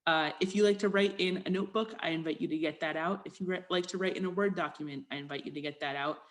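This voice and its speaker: A woman's voice: fairly low pitch, fast. A woman presenting an online workshop over a video call in a conversational, informal way, inviting people to join in. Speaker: workshop presenter, health promotion specialist.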